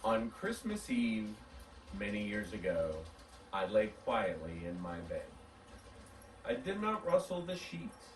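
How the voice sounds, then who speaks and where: A man's voice: fairly low pitch, wildly swooping pitch, takes it slowly. Guy in his 40s hosting and reading a holiday story, voice moves around a lot, mic kinda far off. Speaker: host of a model railroad channel. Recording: roomy, distant mic, faint background noise.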